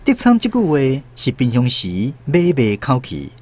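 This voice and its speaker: A man's voice: medium pitch, huge pitch swings, normal speed, a little mellow. A man with a Taiwanese accent reading scripted story narration for a radio drama.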